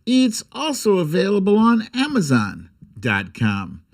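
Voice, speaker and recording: Theatrical man with swooping, highish voice. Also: wildly swooping pitch. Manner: theatrical, drawn-out emphasis; sarcastic, irreverent, swearing. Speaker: host of a political humour livestream. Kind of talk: comic political commentary and mock storytime reading.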